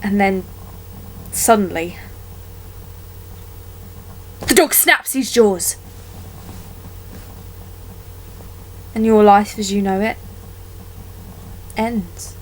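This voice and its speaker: A woman performing a dramatic monologue as a wounded young woman haunted by a childhood attack, telling it haltingly with deliberate pauses. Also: medium pitch, slight background noise, lightly acted, low-quality recording. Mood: tense recollection, then shaken, horrified.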